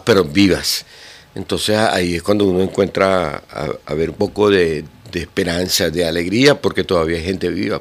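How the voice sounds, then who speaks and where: A middle-aged man with a Venezuelan accent, a guitar teacher speaking as a guest in an interview, pausing often with fillers and restarts. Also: low voice. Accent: Venezuelan Spanish. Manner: hesitant, many fillers and restarts. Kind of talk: radio interview.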